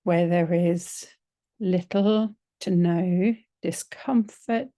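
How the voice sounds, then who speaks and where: A woman teaching yoga nidra, leading a guided relaxation in a gentle, soothing, unhurried manner with long pauses. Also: on the low side, steady tone, no echo, studio quality.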